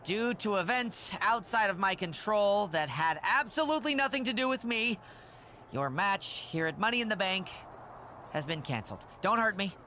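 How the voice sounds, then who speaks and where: A skittish male manager in a wrestling game, rambling and eager to please. His bright voice leaps up and down as he fusses. A faint hiss sits under the game audio. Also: high-pitched, animated, normal speed. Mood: nervous. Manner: anxious, rambling, sycophantic. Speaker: wrestling show official. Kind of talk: video game cutscene acting.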